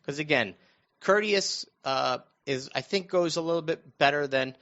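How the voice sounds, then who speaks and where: Dull-sounding American man with a higher-pitched voice. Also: normal speed, a bit bright. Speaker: radio show panelist recounting his own news. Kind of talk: casual conversational storytelling.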